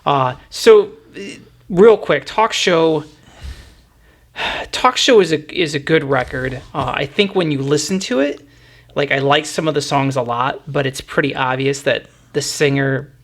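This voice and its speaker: A man's voice: a little high, measured pace, a bit bright. A man hosting a music podcast, chatting casually in an enthusiastic, opinionated way. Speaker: podcast host.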